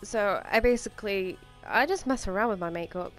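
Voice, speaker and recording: A woman's voice: mid-range voice, a little fast. A young woman with a slightly stuffy voice from a cold, rambling casually through a makeup tutorial with plenty of ums and likes. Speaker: makeup vlogger. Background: faint music.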